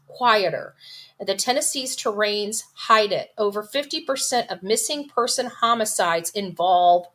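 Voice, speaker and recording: A woman speaks as the host of a casual true crime commentary. Her pitch sits in a middle range for a woman. She is opinionated and emphatic, moving between reading aloud and reacting, and she repeats phrases to stress them. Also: a bit bright.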